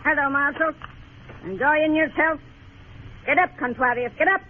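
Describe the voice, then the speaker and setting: A woman playing a hard, commanding, bitter frontier widow in an old radio western, sharp and curt. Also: high-pitched, normal speed, lightly acted. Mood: furious, commanding. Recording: muffled old radio recording, faint noise.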